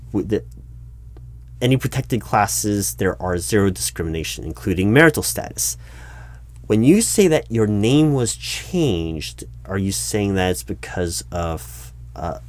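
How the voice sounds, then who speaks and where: A man playing a baffled, exasperated recruiting manager in a one-sided ASMR job interview roleplay, speaking aloud with halting, repeated words. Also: on the low side. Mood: careful, formal, then puzzled, probing. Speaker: roleplay performer.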